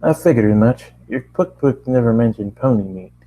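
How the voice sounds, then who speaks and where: A man's voice: medium pitch, animated, moderate pace, dark tone, close to an everyday voice, rough recording. Friendly homespun pony mare for a cartoon fan story, hearty and folksy, played by a man. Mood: friendly, matter-of-fact.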